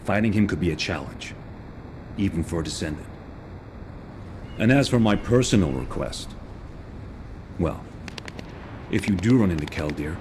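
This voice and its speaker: Game recon soldier, male, very deep pitch, grave, intense, vengeful, noisy rumbling background. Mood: bitter resolve. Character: grim, vengeful male recon soldier mourning his men. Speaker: scout squad leader. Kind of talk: video game cutscene acting. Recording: noisy rumbling ambience behind voice.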